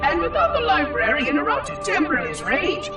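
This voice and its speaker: An actor voicing a fussy, ancient robot caretaker in a video game monologue, prissy, formal and theatrical, aggrieved and coldly superior. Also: male voice, very high-pitched, huge pitch swings, normal speed, exaggerated voice. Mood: scheming, musing. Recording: echoing, distant voice under loud music. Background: loud dramatic music.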